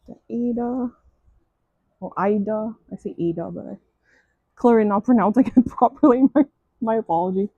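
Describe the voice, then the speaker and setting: French-accented woman gushing over craft stuff, mellow husky voice, kinda dull muffled recording. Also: a little high, dry recording. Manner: chatty, gushing, frequent restarts and "um"s. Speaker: crafting vlogger. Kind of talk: casual unboxing chat.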